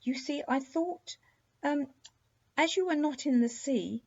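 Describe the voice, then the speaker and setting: A woman's voice: high voice, a little slow, a little mellow, lo-fi sound, pitched above the performer's own voice. A woman voicing a polite, fearless little princess in a children's story, with a courteous, knowing manner. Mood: polite, sweet.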